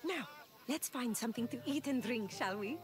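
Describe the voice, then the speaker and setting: A woman's voice: on the high side, animated, normal speed, close to an everyday voice. A woman playing an affectionate, flirtatious middle-aged single mother who farms, in a video game cutscene. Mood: warm, inviting. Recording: music bed under the dialogue.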